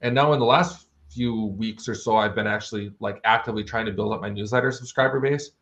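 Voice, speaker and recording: A man's voice: lowish voice, fast talker, a bit bright. A man chatting casually as an interview guest, explaining things in an informal, conversational way. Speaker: interview guest, fantasy author.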